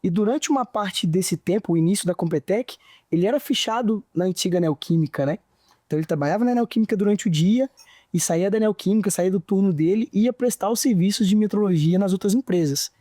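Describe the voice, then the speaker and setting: A man's voice: sits high, quick pace, mellow, no echo, studio quality. A young man with a near-carioca accent from Santos Dumont, Minas Gerais, telling his story earnestly as a podcast guest. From Minas Gerais, Brazil. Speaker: guest, engineer. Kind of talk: interview answers, storytelling.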